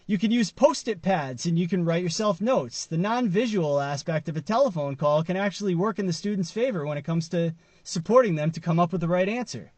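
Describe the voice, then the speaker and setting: A man's voice: high voice, animated, fairly quick, darkish tone, dry recording. A man around his late thirties or forties giving casual, conversational news commentary to camera, with emphatic stresses. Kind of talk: casual commentary to camera, part read from an article. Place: inside a parked car.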